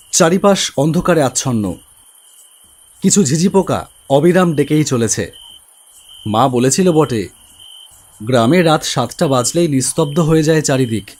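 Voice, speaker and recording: A man's voice: a little high, a little mellow. A man giving scripted, dramatic first-person narration for a cartoon horror story. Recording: faint crickets and rain ambience.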